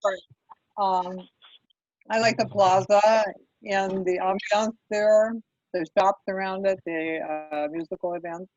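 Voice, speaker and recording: A man giving casual, unscripted remarks in a hesitant, halting way as a participant in a meeting. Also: sits high, fairly even intonation, darkish tone, no room sound. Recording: dull, lo-fi online call audio.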